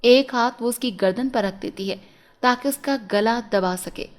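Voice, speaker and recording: A woman's voice: fairly high pitch, unhurried. A woman reading a scripted fairy tale as a storyteller.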